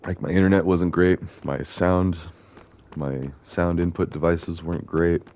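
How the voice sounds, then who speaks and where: A man with a gravelly voice, chatting casually and rambling as he plays a video game, often correcting himself. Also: low-pitched, fairly even intonation, measured pace, a little mellow, slight background noise. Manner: chatty, meandering, self-correcting. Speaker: gaming video host. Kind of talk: casual rambling commentary while playing.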